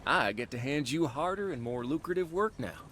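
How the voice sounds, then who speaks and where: An actor playing a supportive old friend who hands out bounty work in a video game, familiar and teasing. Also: male voice, a little high, expressive, brisk, fairly bright tone, slight background noise. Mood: easygoing, teasing. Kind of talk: naturalistic video game acting.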